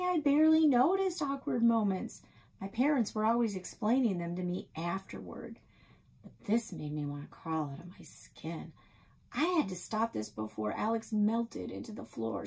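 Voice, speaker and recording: A middle-aged American woman reading a story aloud in a slightly husky voice. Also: mid-range voice, darkish tone, low-quality recording. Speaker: librarian reading a children's novel aloud. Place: small indoor office.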